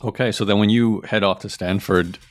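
A man's voice: on the low side, steady tone, fairly quick, dry recording. A man hosting a podcast interview, asking long conversational questions. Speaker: podcast host.

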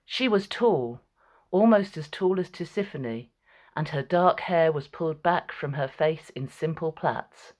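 A woman's voice: low-pitched, expressive, measured pace, a little mellow. A woman narrator reading a scripted, dramatic story.

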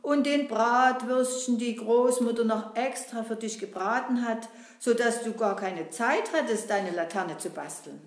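A woman voicing a cheeky, lively puppet boy who chats and teases, talking to the audience. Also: fairly high pitch, a little slow, hardly any pauses. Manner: chatty, teasing, addresses the audience. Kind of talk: puppet-show acting. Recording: roomy echo.

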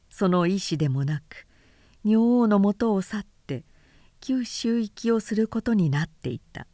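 A woman with a mellow, warm voice narrates a literary tale. Her delivery is measured and careful, and the sound is close and dry. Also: medium pitch, no echo. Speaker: narrator reading classic literature aloud. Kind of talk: scripted audiobook narration.

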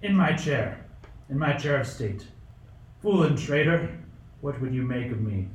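A man's voice: mid-range voice, lively intonation, a little slow, natural voice. Man acting a suspicious, tyrannical old king, echoey. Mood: irritable, accusing. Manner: imperious, snappish, paranoid accusations. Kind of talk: radio play acting. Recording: roomy, distant mic, faint noise.

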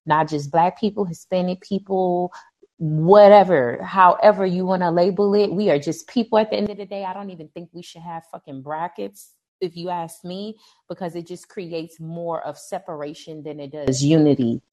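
A woman from Brooklyn co-hosts a podcast in a lowish voice. She speaks her mind in an outspoken, emphatic way, leaning into her points. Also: steady tone, moderate pace, darkish tone. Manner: outspoken, emphatic, preachy asides. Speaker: podcast co-host. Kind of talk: casual chat.

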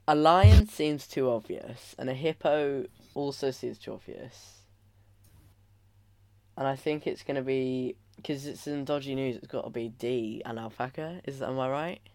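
A man's voice: mid-range voice, unhurried, a little mellow, no room sound. Playful man thinking aloud, dry sound. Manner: playful, reasons aloud while guessing. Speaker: podcast co-host. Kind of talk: casual chat.

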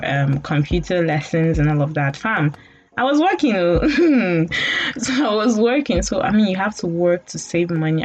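A Nigerian woman in her late twenties talks casually as a podcast host, rambling and often laughing at herself. Her voice sits a little low for a woman. The recording is dull and lo-fi, with soft music underneath. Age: late 20s. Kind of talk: casual storytelling monologue. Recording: dull lo-fi audio with faint music.

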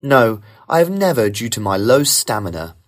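Man giving rehearsed test answers, lively pitch. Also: normal speed. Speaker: sample test candidate. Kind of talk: scripted model speaking-test answers.

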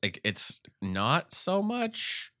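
A man with a congested voice, chatting casually about games as a podcast co-host and rambling through animated retellings. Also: fairly high pitch, extremely slow, no room sound, studio quality. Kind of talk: casual gaming chat.

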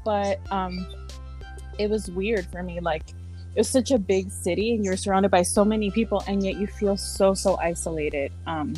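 A young adult woman from San Diego, a social worker talking casually as a podcast guest, with soft laughs and many fillers. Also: medium pitch, normal speed, soft background music. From San Diego, California. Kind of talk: casual interview chat.

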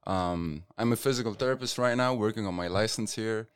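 Smooth-voiced man telling his story. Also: lowish voice, fairly quick, dry recording, studio quality. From Lebanon. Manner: relaxed storytelling with many fillers. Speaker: podcast guest, futsal player. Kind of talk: casual interview chat.

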